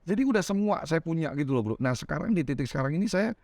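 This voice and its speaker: A man in his thirties from Semarang with a Javanese-tinged Indonesian accent, telling his life story as a podcast guest, animated and laughing often. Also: a little high, fast talker, no echo. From Semarang, Central Java, Indonesia. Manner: animated, laughs often, keeps adding "Bro". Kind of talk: casual storytelling interview.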